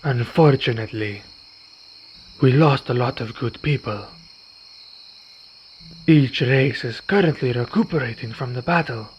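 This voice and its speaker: Man acting a slow, formal, weary vampire. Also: medium pitch, close to an everyday voice. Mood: solemn recounting. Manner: formal, measured, deliberate phrasing. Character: weary, courteous male vampire, wounded but calm. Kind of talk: ASMR roleplay. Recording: dull recording with night insect noise. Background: crickets and night insects.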